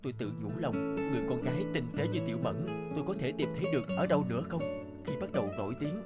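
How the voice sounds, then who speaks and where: A man's voice: medium pitch, lively intonation, fast, over loud music, close to an everyday voice. A man voicing an earnest, humble young male hero in a cartoon, in both dialogue and storytelling. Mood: reflective, sincere.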